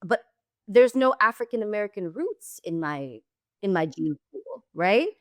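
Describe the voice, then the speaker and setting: A woman hosting a podcast, first generation in the US with Jamaican and Guyanese roots, talking in an animated, emphatic way. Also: medium pitch, a little slow, no echo, clean studio sound. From Jamaican and Guyanese heritage, first generation in the US. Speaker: podcast host. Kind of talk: conversational interview.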